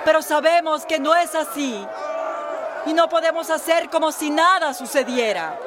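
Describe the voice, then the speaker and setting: A woman's voice: high-pitched, moderate pace, background noise, lightly acted. A woman playing a determined, indignant town official in a radio drama, emphatic and declamatory. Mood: defiant speech. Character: determined, indignant female town official fighting migrant smugglers. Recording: radio play with music and crowd behind.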